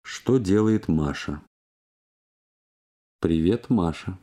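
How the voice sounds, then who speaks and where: Casual: deep mellow guy reading language drills, slow and super clearly spoken. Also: expressive. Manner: slow, careful, clearly enunciated. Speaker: language course reader.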